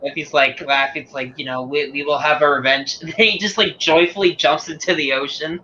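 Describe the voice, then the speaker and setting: A man on a podcast chatting as an animated, laughing fan. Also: fairly high pitch, fairly quick, slight background noise. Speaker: podcast guest. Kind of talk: casual podcast chat. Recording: dull lo-fi audio.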